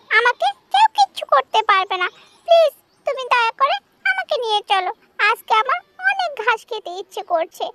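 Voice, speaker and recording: A performer voicing a naive, stubborn little goat kid in a children's cartoon, squeaky and childlike, with a wheedling manner. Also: bright, forceful tone, slight background noise, heavily acted. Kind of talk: children's animated story dialogue.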